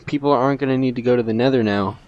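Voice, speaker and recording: A man's voice: mid-range voice, rapid-fire, muffled recording. A man co-hosting a podcast, casually raising objections in conversation.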